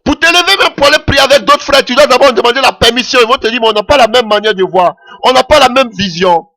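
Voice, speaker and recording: High, bright-voiced impassioned preacher man. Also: fast. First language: not French. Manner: impassioned preaching with frequent "Alléluia" interjections. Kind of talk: sermon.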